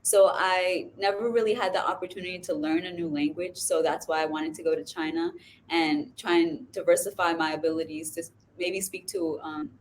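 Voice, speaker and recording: A young woman from New York speaks as a student panelist, measured and thoughtful, pausing on soft ums. Her voice sits a little low, over a faint hiss. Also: steady tone. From New York, USA. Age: young adult.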